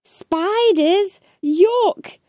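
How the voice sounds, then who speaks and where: Here is a woman acting out an anxious little beaver boy in a children's storybook. The voice is high and soft-edged, with slow, expressive delivery as he frets and steels himself to be brave. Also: no room sound, studio quality, exaggerated voice. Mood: worried. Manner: expressive, chanting rhymes and fretting. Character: anxious young male beaver, talking himself into courage. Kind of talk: children's storybook read-aloud.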